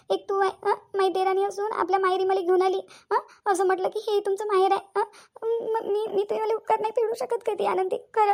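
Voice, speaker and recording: A woman's voice: sits high, darkish tone, lightly acted. A woman playing a tender, earnest young married village woman in a family drama, with a Varhadi Marathi accent and an emotive, pleading way of repeating phrases. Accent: Varhadi Marathi from Vidarbha. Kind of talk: amateur family drama acting.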